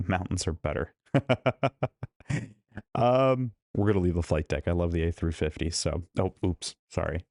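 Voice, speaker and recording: Man, hoarse from losing his voice, dry close sound, hotel room, studio mic on a laptop. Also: sits low, darkish tone, no room sound. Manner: chatty, greets viewers one by one. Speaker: live-stream host. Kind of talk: casual live-stream chat. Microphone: studio microphone plugged into a laptop.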